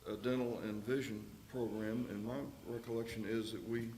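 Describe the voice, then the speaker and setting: A man leading a county commissioners meeting, speaking in a folksy, halting way with many pauses and restarts. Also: on the low side, flat delivery, a little mellow. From Texas. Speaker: county official chairing meeting. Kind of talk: public meeting remarks. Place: county commissioners court room. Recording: echoey room mic with faint noise.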